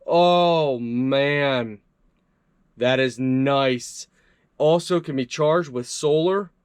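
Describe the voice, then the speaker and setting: A man's voice: mid-range voice, very slow, clear, resonant tone, dry recording, clean studio sound. Upbeat man casually reviewing a gadget. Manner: upbeat, chatty, talks to viewers as "guys". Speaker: product reviewer. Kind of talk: casual hands-on product review.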